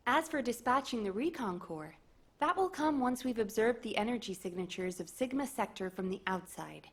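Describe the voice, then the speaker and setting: A confident woman military strategist in a video game. Her voice sits a bit high, and she delivers orders and briefings with assertive determination. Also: lively intonation, moderate pace.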